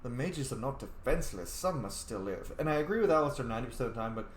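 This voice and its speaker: A young man playing a video game and roleplaying his character, excitable, sweary and playful. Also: medium pitch, quick pace, reverberant, a little hiss or hum. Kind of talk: casual let's play commentary with in-character lines.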